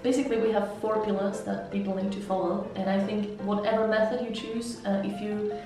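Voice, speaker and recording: A woman with a Slovak accent explains her approach in a measured way, letting phrase ends fall softly. Her voice is mellow and dark. She sits some way from the mic in a very echoey room, with faint music underneath. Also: mid-range voice, little pitch movement, distant mic. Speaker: language mentor and interpreter. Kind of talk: interview answers.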